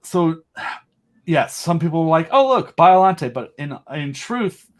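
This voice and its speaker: A man's voice: fairly high pitch, sing-song, slow. Enthusiastic man rambling about monsters. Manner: enthusiastic, rambling fan talk. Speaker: podcast host and audio drama creator. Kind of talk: casual video chat interview.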